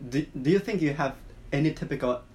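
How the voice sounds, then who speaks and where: A man's voice: a little high, quick pace, a little mellow. A man whose first language is Japanese co-hosts a podcast in casual chat, asking short questions and giving brief replies. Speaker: podcast co-host.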